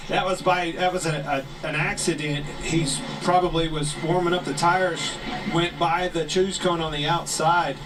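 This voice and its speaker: A man giving quick, reactive analysis as a television race commentator. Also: a little high, little pitch movement. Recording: distant TV audio with noise behind.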